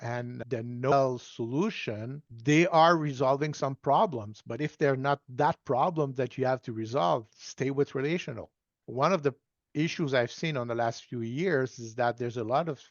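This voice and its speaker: A man in his sixties with a French Canadian accent, a native French speaker, giving measured, self-deprecating answers as an interview guest. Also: mid-range voice, dry recording, muffled recording. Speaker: guest data management consultant. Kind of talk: relaxed explanatory interview answers.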